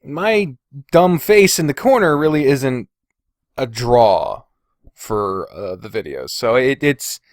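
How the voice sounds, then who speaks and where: Male podcast host, middle register with animated inflection; loose, digressive, self-mocking chat full of swearing, dry USB-mic recording. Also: medium pitch, takes it slowly, no room sound. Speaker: podcast host and video creator. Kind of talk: casual rambling solo podcast chat. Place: indoors in a bedroom. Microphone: Blue Snowball omnidirectional USB microphone.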